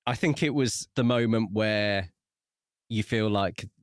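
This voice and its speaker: Male co-host for a book club podcast, lowish voice, measured delivery, very dry studio sound. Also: clear, resonant tone, dry recording.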